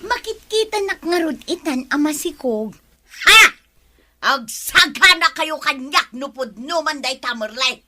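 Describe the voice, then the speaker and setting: A woman's voice: sits high, expressive, unhurried, bright, forceful tone, exaggerated voice. A woman playing an indignant, quarrelsome village woman in a comedy drama, with a Filipino accent and an exclamatory way of talking. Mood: furious. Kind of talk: Ilocano comedy radio-drama acting.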